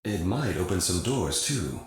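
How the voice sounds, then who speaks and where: An actor playing a businesslike mission broker in a video game, briefing a mercenary pilot in a measured, advisory way. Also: male voice, deep voice, very animated, noisy background. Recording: very echoey, music behind.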